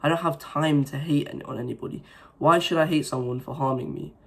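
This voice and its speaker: A man's voice: on the high side, brisk, creaky, husky. Young man with a sore throat, rambling. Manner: rambling, many fillers and restarts, earnest. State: sore throat, recovering from illness. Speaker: self-improvement vlogger. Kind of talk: casual motivational talk to camera.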